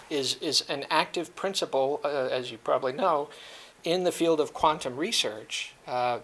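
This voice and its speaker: Middle-aged male lecturer, gravelly, self-deprecating humor. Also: medium pitch, fairly bright tone, a little hiss or hum. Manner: joking, self-deprecating, many fillers and restarts. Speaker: guest speaker at a security seminar. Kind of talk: seminar talk with audience Q&A. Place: lecture room.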